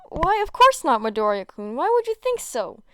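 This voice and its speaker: A woman voicing a gentle, fretful male shop owner who speaks in an earnest, worried way. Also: high-pitched, very animated, moderate pace, no room sound, voice pushed up, full character voice. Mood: nervous, squealing. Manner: fretting, soft, earnest.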